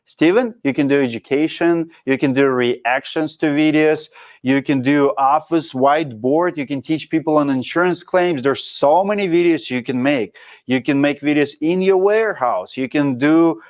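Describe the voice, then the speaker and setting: Casting: Russian-accented man, thirties, livestream host, frank coach, slightly high voice. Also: moderate pace. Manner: frank, coaching, drops articles. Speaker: show host reading live chat. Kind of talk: casual livestream talk.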